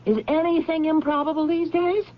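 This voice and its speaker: An actress playing an anxious, insistent middle-aged woman executive in a radio drama, halting and defensive as she explains herself. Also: very high-pitched, level tone. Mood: insistent, suspicious. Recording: dull lo-fi vintage radio recording.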